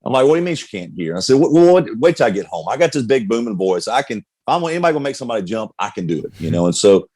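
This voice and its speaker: A man's voice: medium pitch, sing-song, racing, few pauses, a little mellow, husky, dry recording. A middle-aged man, a pastor, telling his life story candidly in conversation, with frequent restarts. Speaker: pastor, guest. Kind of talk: personal testimony in conversation.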